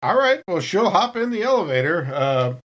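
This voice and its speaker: A woman's voice: fairly low pitch, very animated, normal speed. A woman talking casually as a player in a tabletop role-playing game.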